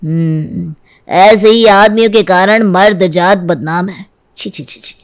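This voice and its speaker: A woman's voice: on the low side, slow pace. Woman as a smug, meddling male lawyer. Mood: disapproving. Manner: reassuring, insinuating. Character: smug, meddling male divorce lawyer egging on his client.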